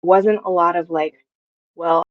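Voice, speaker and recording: A young woman in her twenties speaks in a fairly low voice, chatting informally as a guest and working out her thoughts as she goes. The recording is dry and somewhat dull, like a video call. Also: fairly even intonation, slow pace, no echo. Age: 20s. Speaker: guest, audio drama writer. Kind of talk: casual interview chat.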